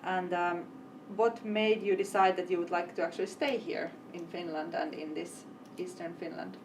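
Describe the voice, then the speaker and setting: Soft-voiced woman hosting a panel. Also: lowish voice, fairly even intonation, normal speed, background noise. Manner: gentle, long winding questions. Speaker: interviewer and event host. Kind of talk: panel interview. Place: event venue. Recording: distant mic with faint ambience.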